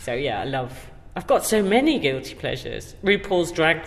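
A woman's voice: low-pitched, very animated, moderate pace, gravelly. A middle-aged woman chatting casually as an interview guest, effusive and emphatic in the way she talks. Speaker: guest actor being interviewed. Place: indoor stairwell. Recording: very echoey stairwell.

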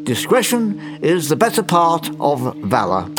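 An actor playing a fussy, imperious old British scientist in an audio drama, stammering, hemming and repeating himself in a patronising way. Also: male voice, fairly high pitch, huge pitch swings, unhurried, music in the background, full character voice. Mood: brisk, firm. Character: fussy, imperious, doddery old male time-travelling scientist.

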